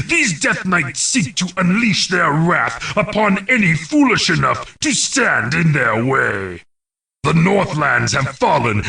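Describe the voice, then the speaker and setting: A man's voice: a little high, sharp tone, recorded from a distance, heavily acted. A man playing a grim, imperious orc who narrates fantasy game war briefings in a growling, commanding, theatrical way. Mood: ominous. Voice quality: gravelly, growling.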